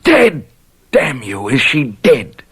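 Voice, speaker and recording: An actor playing an emotional, stubborn captive husband under torture in an old-time radio drama. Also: male voice, mid-range voice, wildly swooping pitch, slow pace, vocal fry, fairly bright tone, slightly rough, full character voice. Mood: anguished, defiant.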